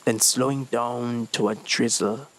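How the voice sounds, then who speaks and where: A man's voice: mid-range voice, steady tone, a little slow, low-quality recording. A young man reading a short story as scripted narration. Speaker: story reader.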